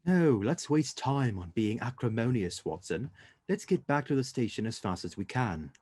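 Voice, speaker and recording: Mellow, commanding gentleman detective played by a man. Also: mid-range voice, animated, slightly rough, no echo. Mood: composed, brisk. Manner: formal, measured, assured. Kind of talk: radio drama acting.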